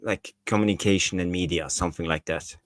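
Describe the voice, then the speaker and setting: A man with a very deep voice, co-hosting football talk in a measured, unhurried way. He pauses to think as he goes. The sound is dry and close. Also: fairly even intonation, no room sound. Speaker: co-host of a football fan show.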